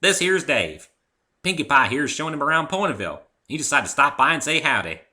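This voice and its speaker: A man's voice: a little high, very animated, fairly quick, bright, forceful tone, pitched above the performer's own voice, exaggerated voice. A man voicing a friendly young female farm pony with a folksy US Southern drawl in a story reading. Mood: hearty. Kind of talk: dramatic fan fiction reading.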